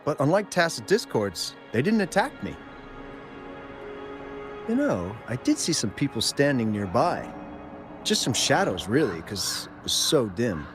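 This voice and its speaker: A man voicing a friendly, excitable townsman in a video game, telling things dramatically with small hesitations. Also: medium pitch, measured pace. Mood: intrigued. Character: friendly, excitable male townsman, storytelling eyewitness of monsters. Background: game music.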